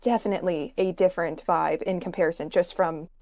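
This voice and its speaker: A woman's voice: fairly low pitch, steady tone, fairly quick, a little mellow, dry recording. A woman guesting as a music expert, explaining things in a casual, enthusiastic way.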